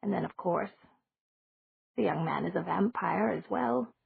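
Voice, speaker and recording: Woman doing a gentle batty old witch. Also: lowish voice, vocal fry, husky, no echo, full character voice. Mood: gentle, rambling. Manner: slow, gentle and rambling. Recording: dry, tinny phone-like sound.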